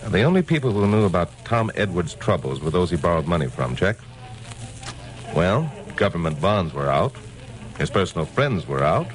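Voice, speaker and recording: A man's voice: deep voice, sing-song, normal speed, low-quality recording. A man with an American accent playing a wry, tough crime reporter narrating his case in an old radio detective drama. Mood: testy. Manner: wry, slangy, sardonic. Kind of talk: 1940s-50s radio detective acting, hardboiled narration. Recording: old radio recording with music.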